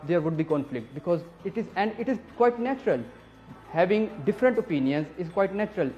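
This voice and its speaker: A man's voice: high-pitched, dark tone, lo-fi sound. A man from Pakistan, the executive director of a peace group, speaking earnestly and emphatically in an interview. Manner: earnest, emphatic, lists items in rhythm. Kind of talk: advocacy interview speech.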